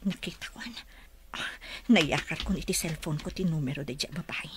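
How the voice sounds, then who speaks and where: A woman's voice: deep voice, brisk, in a whisper, natural voice. A woman playing a suspicious, secretive wife in a radio drama, speaking with an Ilocano accent. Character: suspicious, secretive wife checking on her husband. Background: music.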